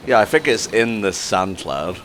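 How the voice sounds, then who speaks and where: A man's voice: fairly low pitch, lively intonation, moderate pace, a bit bright, slight background noise. A man casually playing a game, teasing his friends and muttering as he plays. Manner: easygoing, teasing, talks to himself as he plays. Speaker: player. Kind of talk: casual let's-play chat.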